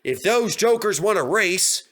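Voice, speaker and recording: A man's voice: sits high, lively intonation, a little slow, fairly bright tone, voice pushed up. A man voicing an easygoing, competitive train driver in a children's story, hearty and good-humoured.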